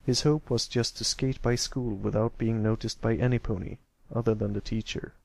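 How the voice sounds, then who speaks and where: A man with a gravelly voice reading a scripted story as an amateur narrator. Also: lowish voice, steady tone, moderate pace, darkish tone. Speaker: amateur audiobook reader.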